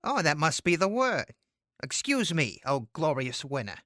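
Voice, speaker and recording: Sly male orc boss played by a woman, audio drama. Forceful, slow drawl, sardonic and condescending. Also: deep voice, big pitch swings, no echo, studio quality, heavily acted. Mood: patient, placating.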